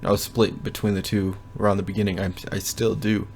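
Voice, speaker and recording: A young man giving a casual, rambling progress update from his bedroom, often pausing to think aloud. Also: fairly low pitch, flat delivery, darkish tone, slight background noise. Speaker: language learner vlogger. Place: bedroom at home.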